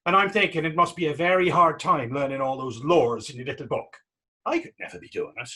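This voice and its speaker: A man's voice: fairly high pitch, fairly quick, bright, forceful tone. A man in a readers theater drama playing a defiant young Irish street vagrant, taunting and sarcastic, with an Irish accent. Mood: sly, sarcastic. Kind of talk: readers theater stage acting.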